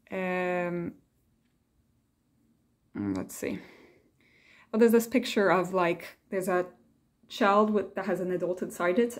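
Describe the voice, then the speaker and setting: A woman's voice: on the low side, a little mellow. A woman vlogger talking casually and reflectively in a hesitant, rambling way, reading quotes aloud between her own thoughts.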